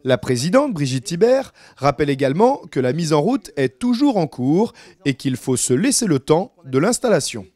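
A man's voice: a little high, sing-song, moderate pace, a little mellow, no room sound. A man reading scripted news narration as a reporter.

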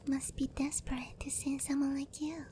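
A woman playing a seductive, doting, gently dominant giant demon queen in a soft, breathy whispered ASMR roleplay, teasing and coaxing, close to the microphone. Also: high-pitched, flat delivery, normal speed, slight background noise, lightly acted. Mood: tender, coaxing.

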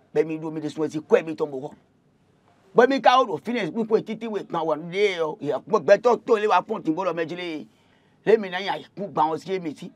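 High, dry-sounding Beninese man hosting. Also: darkish tone, no echo, muffled recording. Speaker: TV show host. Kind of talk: unscripted studio talk. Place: TV studio. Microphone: lapel mic, close.

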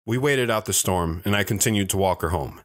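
A low male voice tells a first-person story for an audio drama. The actor plays a wandering man, wistful and a little bashful as he recalls his youth. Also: fairly quick, lightly acted. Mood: reflective.

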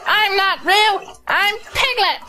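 An actor voicing a small, timid, indignant piglet with a squeaky voice in a children's storybook audio drama. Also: slow pace, bright, forceful tone, full character voice. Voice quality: high and squeaky. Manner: protesting, exclamatory.

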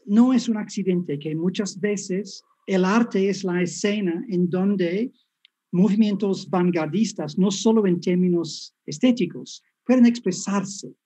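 A man's voice: sits high, takes it slowly, warm tone, slightly rough. A man in his fifties, a native English speaker with a Spanish picked up in Mexico and coastal Colombia, giving a chatty, self-deprecating lecture over a video call. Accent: English speaker's Spanish with Mexican and Colombian street touches. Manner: self-deprecating, chatty, frequent tag questions. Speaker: guest professor.

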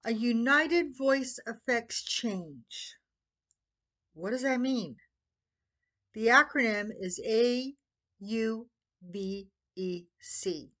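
Older american lady talking real slow to her webcam at home, lots of pauses, earnest pitch for a cause. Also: expressive, fairly bright tone. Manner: deliberate, halting phrasing with pauses. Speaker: petitioner promoting own website. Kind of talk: earnest promotional address to camera. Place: small living room at home, indoors.

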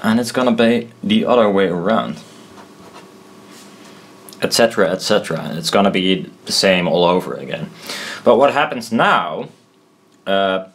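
A man giving an informal tutorial at a desk, thinking aloud in a halting, self-deprecating way. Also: on the low side, fairly bright tone. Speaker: presenter explaining loudspeaker technology. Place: indoors at a desk.

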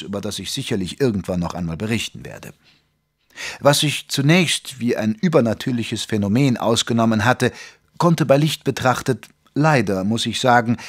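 An actor playing a gentleman physician who narrates a detective radio play in a measured, literary, wry manner. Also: medium pitch, husky. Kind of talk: scripted audio drama narration and dialogue.

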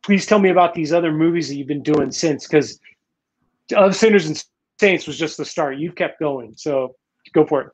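A man's voice: a little high, normal speed, husky. A man in his forties hosting a live-streamed interview from home, asking long, rambling questions full of restarts and "you know". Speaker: talk show host. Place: home room in Manila, indoors. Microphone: video call webcam. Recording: dull, lo-fi webcam audio.

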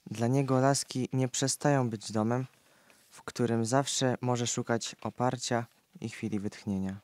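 Male narrator with a mid-pitched voice, reading history for an audio play, dry recording. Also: steady tone, measured pace, darkish tone, no room sound.

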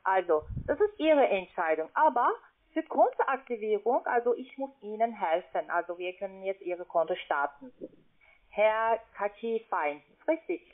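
A non-native woman with a foreign accent giving a halting phone sales pitch as a call-centre agent. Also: lively intonation. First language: not German. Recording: thin, band-limited phone call.

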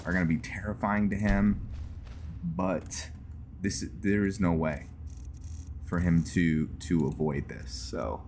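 Male game streamer, low and unhurried, casual and rambling, thinking aloud. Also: steady tone, a little mellow, slight background noise. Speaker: host of a gaming roleplay series. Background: background music.

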